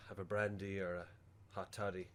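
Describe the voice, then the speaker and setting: Irish actor as bumbling male undertaker, lower register, well-meaning, rambling and hesitant, radio drama. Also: little pitch movement, a little fast, close to an everyday voice. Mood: awkward, chatty. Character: awkward, chatty, well-meaning male funeral director. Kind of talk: radio play acting.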